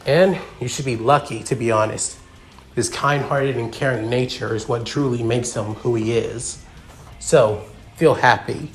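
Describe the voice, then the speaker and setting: A blunt female fallen angel from a story, read by a man at a middle male pitch. She sounds irritable and grudging, with music on the recording. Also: unhurried, lightly acted. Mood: irritated, grudging.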